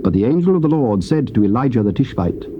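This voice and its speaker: A man's voice: medium pitch, very animated. Stately male scripture narrator. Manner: measured, stately reading. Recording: dull tape with hiss.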